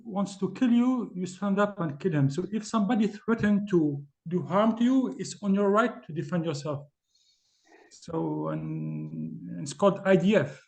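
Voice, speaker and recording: A man from Tunisia speaking English as an on a video call, halting with many fillers and restarts. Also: high voice, creaky, darkish tone. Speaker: class participant. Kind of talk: casual discussion on a video call.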